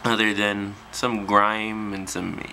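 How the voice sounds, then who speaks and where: A man's voice: on the low side, flat delivery, slow pace, gravelly, pushed and bright, a little hiss or hum. A man talking casually and off the cuff as a hobbyist presenter, hesitantly thinking aloud at an indoor workbench on a camera's built-in mic. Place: small indoor workshop at a bench.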